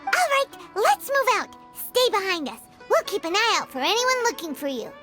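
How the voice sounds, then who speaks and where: A woman voicing a tiny, excitable and bossy childlike girl companion in an animated game, with a squeaky voice and brash manner. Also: normal speed. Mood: determined. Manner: brash, exclamatory. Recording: music playing behind the voice.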